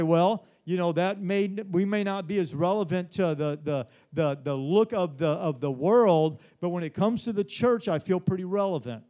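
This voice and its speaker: A man's voice: high-pitched, darkish tone. A man in his forties, a pastor giving a Bible study sermon, earnest and repetitive, often asking the congregation "Amen?". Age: 40s. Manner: earnest, repeats words, frequent "Amen?".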